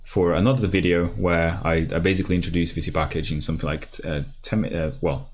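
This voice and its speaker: A man's voice: deep voice, fairly even intonation, fast talker, a little hiss or hum. A man from Brazil whose first language is Portuguese, hosting a casual live coding stream and talking through each step with lots of fillers and restarts. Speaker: programming livestream host. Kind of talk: live coding tutorial, thinking aloud. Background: keyboard typing.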